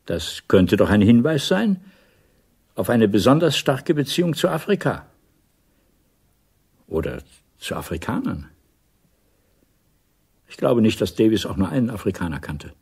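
An actor playing a guarded middle-aged intelligence officer in a 1980s radio play, measured, probing and quietly insistent. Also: male voice, lowish voice, big pitch swings, slightly rough, exaggerated voice. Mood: careful.

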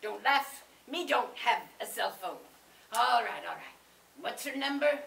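A woman voicing a goofy, lovesick male pirate with a gravelly growl and blustery comic pirate talk. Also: a little high, lively intonation, measured pace, a bit bright, a little hiss or hum, heavily acted. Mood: bored, grumbly. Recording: echoey room, distant mic.

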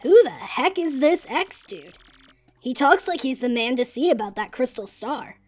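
Male player voicing a brash, excitable young Yoshi; high and lively. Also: moderate pace, soft background music. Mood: skeptical.

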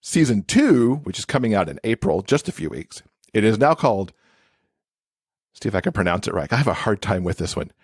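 A man's voice: on the low side, very animated, a little fast, slightly rough, no room sound, studio quality. A man talking casually and enthusiastically as a podcast guest. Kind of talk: casual chat.

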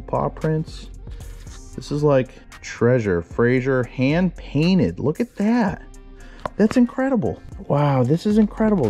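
Excitable young man unboxing a storage unit. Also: fairly high pitch. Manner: excitable, keeps exclaiming wow and singing snatches. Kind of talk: excited casual narration while unboxing.

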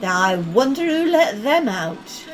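A woman's voice: sits high, very animated, slow pace, over music, heavily acted. A woman playing a disapproving, tutting gossip in a radio play.

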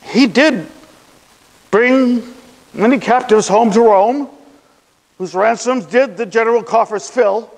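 A man performing a classical stage monologue as a grieving, impassioned, bitterly ironic Roman funeral orator, declaiming deliberately with weighted pauses. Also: extremely high pitch, full character voice. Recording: long hall reverb.

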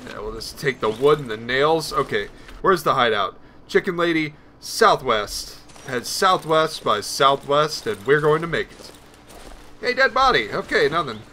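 A man's voice: on the high side, sing-song, unhurried, bright, forceful tone, a little hiss or hum. A man giving casual let's play commentary, rambling nervously and talking to himself as he plays. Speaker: gaming video host.

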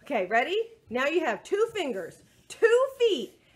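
A woman's voice: high voice, wildly swooping pitch, unhurried. A middle-aged woman leading a children's storytime in a playful, sing-song way, recorded indoors on a device a little distance away. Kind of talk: children's storytime read-aloud and action songs.

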